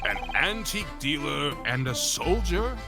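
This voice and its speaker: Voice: mid-range voice, sing-song, measured pace, vocal fry, music in the background. A person voicing a stern, formal courtroom judge in a video game, giving commands.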